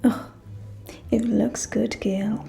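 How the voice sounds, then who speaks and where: A woman playing a haughty, gossipy vampire noblewoman in a whispered ASMR roleplay, catty and conspiratorial, speaking close to the microphone in drawn-out phrases. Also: fairly high pitch, flat delivery, mellow, faint music behind, full character voice. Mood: catty, gleeful. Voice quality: breathy whisper with murmured phrases. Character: haughty, gossipy aristocratic female vampire at a ball.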